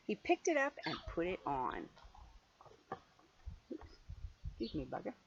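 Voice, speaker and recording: A mature woman reads a children's story aloud with lively swings of pitch. Her delivery is playful and expressive, moving easily into chatty asides for a young listener. The recording is dull and homemade, with faint noise. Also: unhurried, vocal fry. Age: middle-aged or older. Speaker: grandmother reading a picture book to a young child.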